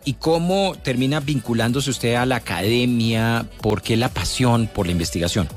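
A man's voice: medium pitch, normal speed, faint music behind. A man, a radio reporter who introduces a guest and interviews him politely and formally.

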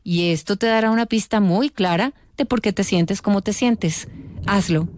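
Woman, calm scripted narration, instructive, dry studio sound, middling pitch. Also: normal speed, no echo.

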